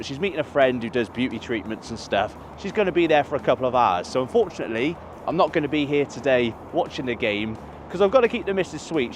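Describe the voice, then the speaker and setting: A man talks to camera outside a football stadium, rambling along and fixing his words as he goes. His voice sits at an everyday pitch. Faint traffic hums behind him in the open air. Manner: chatty, rambling, self-correcting. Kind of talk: casual walking vlog to camera. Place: outdoors by a stadium near a motorway.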